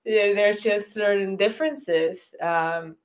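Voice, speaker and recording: A woman's voice: medium pitch, fairly even intonation, takes it slowly, noisy background. A woman from the Dutch Caribbean with an accent from the islands, hosting a podcast in a thoughtful, chatty way with plenty of fillers. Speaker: podcast host. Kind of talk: casual podcast chat.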